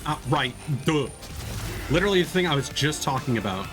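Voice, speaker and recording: A male game streamer commenting casually as he plays. His delivery is playful and animated, swinging widely in pitch, with clear music behind him. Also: fairly quick. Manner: joking, playful. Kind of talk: casual live let's play commentary.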